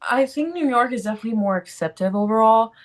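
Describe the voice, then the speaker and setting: A woman's voice: on the high side, lively intonation, fast, soft background music. A young woman in her twenties from Brazil, with a Brazilian accent, chatting as an interview guest and filling her talk with "like" and "um". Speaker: guest, actor. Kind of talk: casual interview chat.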